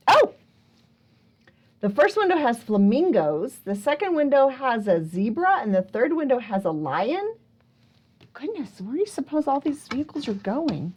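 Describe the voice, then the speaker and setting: A woman hosting a picture book storytime for young children, playfully asking questions and exclaiming with wonder. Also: on the high side. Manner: playful, prompting listeners with questions. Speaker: children's librarian and storytime host. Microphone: speaking close to a camera.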